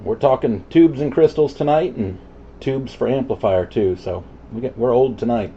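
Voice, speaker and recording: A man's voice: mid-range voice, normal speed. A man chatting casually as a CB radio operator. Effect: CB radio transmission. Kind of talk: casual chat over CB radio. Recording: muffled CB radio audio with static. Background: radio static.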